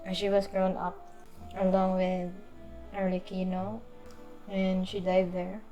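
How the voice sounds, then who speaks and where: Girl streamer musing casually over a game, pretty even tone, soft music behind. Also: mid-range voice, unhurried, highs cut off. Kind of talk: casual live gameplay commentary. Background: faint game music.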